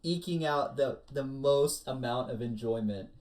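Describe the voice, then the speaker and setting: A podcast co-host chatting casually about anime, with animated emphasis and plenty of "like" as filler. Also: medium pitch, unhurried.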